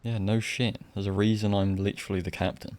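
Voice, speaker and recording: Lowish-voiced, sweary man streaming games. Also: flat delivery, brisk, darkish tone, no echo. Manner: sweary, blunt, ranting, exasperated. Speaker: game streamer. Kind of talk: casual livestream game commentary. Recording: game music behind voice.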